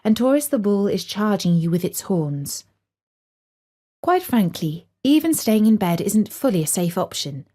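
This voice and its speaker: A woman's voice: medium pitch, big pitch swings, measured pace, dark tone. A woman voicing a blunt, alarmist newspaper horoscope in a children's story, in portentous declarations. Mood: ominous.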